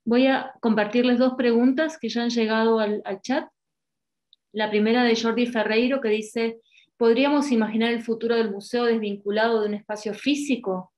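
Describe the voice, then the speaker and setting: A woman's voice: a little high, fairly even intonation, normal speed, darkish tone. A woman moderating a panel discussion on a video call from a home room, reading out questions from the chat and handing over the floor. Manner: reads audience questions, tags phrases with a questioning word.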